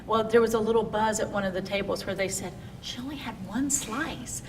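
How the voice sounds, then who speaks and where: A woman's voice: medium pitch, fairly quick, a little hiss or hum. Rambling woman host, distant and echoey. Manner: rambling, restarts her questions. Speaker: show host. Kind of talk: interview host.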